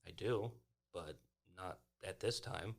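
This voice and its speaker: A man with a deep voice takes part in a tabletop role-playing game. He speaks in casual chat, slipping between table talk and his character. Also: slow pace, vocal fry.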